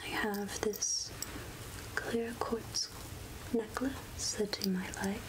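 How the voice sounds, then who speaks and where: Woman as slow, whispering friendly witch. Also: mid-range voice, fairly even intonation, natural voice, poor audio. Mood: gentle, helpful. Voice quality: breathy whisper. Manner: slow, gentle, musing, archly addressing a mortal. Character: three-hundred-year-old witch of the woods, friendly, wryly curious. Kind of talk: soft-spoken ASMR roleplay.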